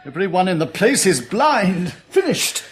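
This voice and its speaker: An actor playing a grim, despairing British surgeon who has just gone blind, terse and bleak in a radio drama. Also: male voice, high-pitched, sing-song, unhurried.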